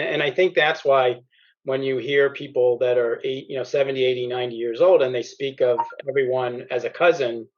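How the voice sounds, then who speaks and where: Man explaining family research, muffled. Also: medium pitch. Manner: relaxed, many fillers and restarts. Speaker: author and genealogy researcher. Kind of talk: explaining research over slides in a podcast chat.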